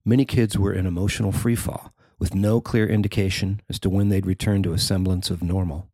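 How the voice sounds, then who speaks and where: Male narrator, middle-aged, deep and gravelly, reading a personal feature in a very dry recording. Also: level tone, brisk, dark tone, no room sound. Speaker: writer and narrator.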